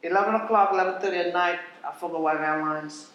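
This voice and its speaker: A man's voice: high-pitched, quick pace, fairly bright tone, muffled recording. Blunt, swearing man from Indonesia. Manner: blunt, swears freely, jokes. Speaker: podcast guest. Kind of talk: casual storytelling. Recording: echoey room.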